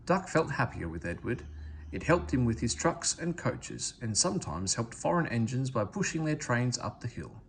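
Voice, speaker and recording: A man reading a children's story aloud as expressive scripted narration. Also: mid-range voice, normal speed, vocal fry, slight background noise. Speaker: storybook reader.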